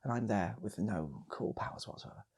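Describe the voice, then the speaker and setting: A man tells an improvised comic story in a rather low voice. It is gravelly and very rough, and he rambles and repeats himself as he goes. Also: a little fast, a little mellow. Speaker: storyteller, channel host.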